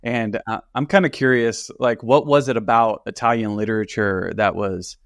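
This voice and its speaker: A male podcast host interviews a guest in a casual, rambling style. His voice is a little low and recorded dry, and he comes across as informal and effusive as he works toward each question. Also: steady tone, moderate pace, no echo.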